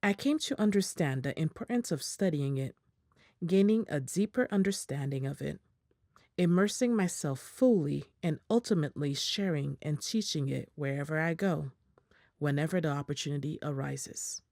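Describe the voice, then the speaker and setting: A woman reading a prepared statement aloud in English in a measured, formal way, as an interpreter on a video call. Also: low-pitched, expressive, darkish tone, no echo, studio quality.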